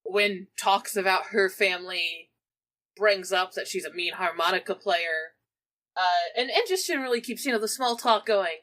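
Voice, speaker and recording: A woman's voice: medium pitch, normal speed, fairly bright tone. A woman casually narrating her character's actions as a player in a tabletop roleplaying game.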